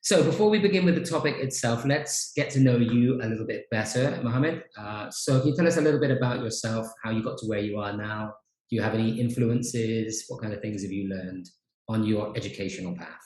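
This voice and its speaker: A man's voice: fairly low pitch, a little fast. Male host for a casual video-call chat, easygoing, with echo in the room. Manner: relaxed, chatty, frequent fillers. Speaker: podcast host, teacher trainer. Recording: roomy echo.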